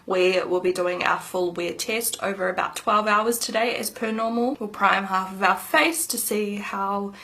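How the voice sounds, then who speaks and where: Woman, thirties, beauty vlogger, upbeat and rambling, slightly nasal, vocal fry. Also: medium pitch, normal speed, hardly any pauses, pushed and bright. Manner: chatty, upbeat, rambling asides. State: slightly nasal from hay fever. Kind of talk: casual makeup review to camera.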